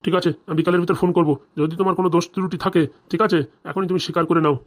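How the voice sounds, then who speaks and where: A woman's voice: lowish voice, fairly even intonation, racing, close to an everyday voice. A woman voicing a weary, exasperated village police officer in a comic cartoon, nagging and accusing. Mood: warning.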